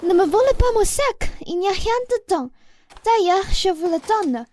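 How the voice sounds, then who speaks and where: A woman's voice: very high-pitched, lively intonation, moderate pace, a little mellow. A young woman playing a lovestruck, headstrong young woman who pleads and insists in a comic radio play. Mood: frightened. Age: young adult. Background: music.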